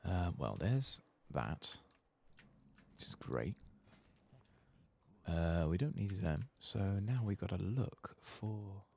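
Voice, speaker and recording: A soft-spoken man murmuring unhurried ASMR game commentary, often slipping into a whisper as he muses to himself. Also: sits low, expressive, gravelly. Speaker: gaming streamer, ASMR channel host.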